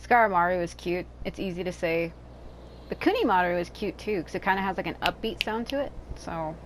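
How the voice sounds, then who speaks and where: A woman's voice: lowish voice, lively intonation, brisk, noisy background. A woman hosting a gaming channel, talking casually over her gameplay with rambling, joking asides. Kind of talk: casual let's-play commentary. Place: hot room at home.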